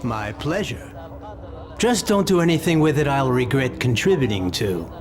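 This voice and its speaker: An actor playing an older, genial and courteous arms dealer in a video game cutscene. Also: male voice, mid-range voice, expressive, moderate pace, crowd noise, natural voice.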